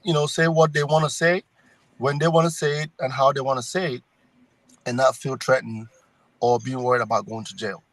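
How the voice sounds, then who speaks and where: A man's voice: mid-range voice, fairly even intonation, brisk, fairly bright tone, no echo. A middle-aged man with a Cameroonian accent, speaking conversationally as a political candidate in an interview. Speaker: congressional candidate, guest.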